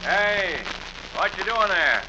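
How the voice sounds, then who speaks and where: An American actor playing a brusque, folksy highway motorcycle cop in a vintage radio thriller. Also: male voice, lively intonation, slow pace. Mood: gruff suspicion. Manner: gruff, folksy colloquial orders. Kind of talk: 1940s-50s radio thriller acting. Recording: muffled vintage radio recording, heavy noise.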